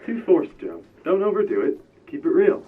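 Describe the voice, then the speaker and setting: A man's voice: a little high, very animated, moderate pace. Man as bossy heist boss, distant phone-like sound. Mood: commanding. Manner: commanding, teasing. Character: bossy, mocking criminal ringleader directing a heist. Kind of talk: radio drama acting. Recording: distant, thin radio-like lo-fi sound.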